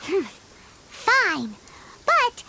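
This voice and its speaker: A tiny fairy sidekick, with an extremely high, squeaky, childlike voice that swings all over the place. She is blunt, exclamatory and quick to take offense, in a cartoonish video game style. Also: huge pitch swings, heavy background noise, heavily acted. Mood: skeptical. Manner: exclamatory, speaks of herself in third person.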